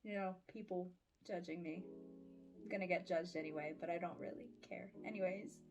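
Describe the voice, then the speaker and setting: A woman's voice: on the low side, fairly even intonation, a little fast, over loud music, poor audio. A woman in her early twenties giving a casual personal update in an awkward, self-deprecating, giggly, rambling way. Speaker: audio roleplay voice creator and vlogger.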